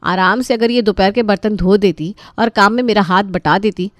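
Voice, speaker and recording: A woman's voice: medium pitch, moderate pace, dry recording, clean studio sound, lightly acted. A woman voicing a defiant, demanding daughter-in-law in a cartoon story, sarcastic and argumentative. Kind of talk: animated moral story dialogue.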